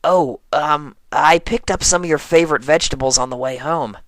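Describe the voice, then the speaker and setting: A man voicing a brash, lovestruck young female pegasus pony in a strained, raspy voice for a fan fiction reading. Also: fairly high pitch, a bit bright, no echo, voice pushed up. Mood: playful, teasing. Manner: halting, gushing, smitten. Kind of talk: first-person fan fiction reading in character.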